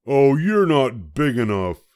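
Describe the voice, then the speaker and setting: An older man voicing a small grouchy ladybug in a children's storybook, loud and brash, always spoiling for a fight. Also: medium pitch, very animated, slow pace, clear, resonant tone, no echo, studio quality, voice pushed up. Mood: dismissive.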